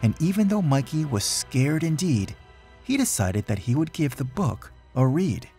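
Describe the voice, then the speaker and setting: A man reading a rhyming children's picture book aloud in an expressive, dramatic storytelling manner. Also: on the high side, normal speed, husky, soft background music. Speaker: storybook reader.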